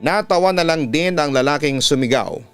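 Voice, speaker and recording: A man's voice: a little high, normal speed, a bit bright, clear, resonant tone. A man with a Filipino accent reading a listener's horror letter aloud as a storytelling host. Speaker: host and narrator of a listener-story channel, radio announcer. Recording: music bed behind the voice.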